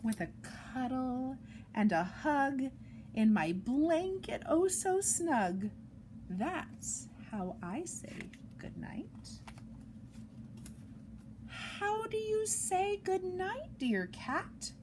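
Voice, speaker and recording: A woman reads a picture book aloud to young children in an expressive, sing-song way, adding chatty asides, on an amateur home recording. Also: high-pitched, drawn-out words, noisy background. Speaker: storytime reader for young children.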